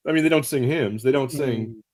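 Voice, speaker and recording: A man with a mid-pitched voice speaks as a guest author, building his points emphatically and dropping dry, self-mocking jokes. The delivery is conversational and steady. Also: brisk. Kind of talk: casual livestream conversation.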